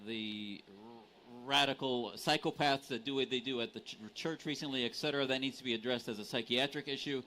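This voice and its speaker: A man's voice: mid-range voice, fairly even intonation, brisk, hardly any pauses, bright, forceful tone, rough recording. A man from the United States in a live panel debate, speaking earnestly and insistently with formal vocabulary. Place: conference stage.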